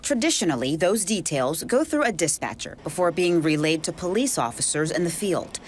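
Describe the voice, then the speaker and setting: Female TV reporter, American, lowish pitch, scripted report narration. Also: lively intonation, normal speed, a little hiss or hum. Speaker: TV news reporter.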